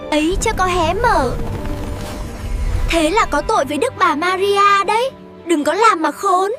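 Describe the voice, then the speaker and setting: A woman's voice: very high voice, unhurried, over music, pitched above the performer's own voice. A woman voicing a cautious, worried young angel in a storybook, warning anxiously.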